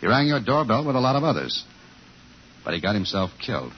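An actor playing a tough, world-weary police detective in a 1950s radio drama, clipped and deadpan. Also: male voice, medium pitch, a little fast, a little hiss or hum, lightly acted. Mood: dry pressing. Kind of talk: 1950s radio drama, hard-boiled narration. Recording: dull old 1950s radio recording, music.

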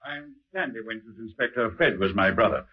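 A man's voice: fairly low pitch, expressive, brisk, noisy background, lightly acted. An actor playing a hesitant, deferential man who insinuates things to police in an old radio drama. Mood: hesitant, insinuating. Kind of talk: old-time radio acting. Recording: muffled old radio recording.

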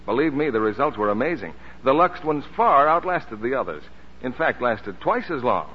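A man's voice: medium pitch, sing-song, moderate pace, slightly rough. A man announcing and selling on 1940s radio, with scripted, emphatic sales delivery. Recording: dull, reverberant, faintly noisy old radio recording.

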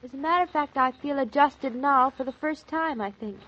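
An actress in a 1940s radio drama playing a playful, tender young newlywed wife, with a bright, teasing and dreamy way of talking on an old transcription. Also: female voice, sits high, normal speed, muffled recording, natural voice. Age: young adult.

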